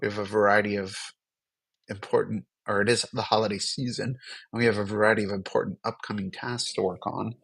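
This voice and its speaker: A man's voice: on the low side, little pitch movement, a little fast, vocal fry, fairly bright tone, breathy edge, rough recording. A man hosting a casual livestream chat in a playful, teasing way. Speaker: livestream host.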